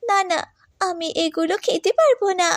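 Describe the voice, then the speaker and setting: A woman's voice: very high-pitched, lively intonation, normal speed, no echo, studio quality. A woman voicing an earnest, devout and polite young girl in a children's moral cartoon. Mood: sad, pleading.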